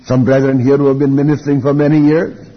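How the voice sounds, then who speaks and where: Elderly preacher, muffled in a reverberant hall. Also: male voice, mid-range voice, flat delivery, husky. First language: Welsh. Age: older, elderly. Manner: deliberate, reflective, with rhetorical questions and repeated phrases. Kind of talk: Bible teaching sermon.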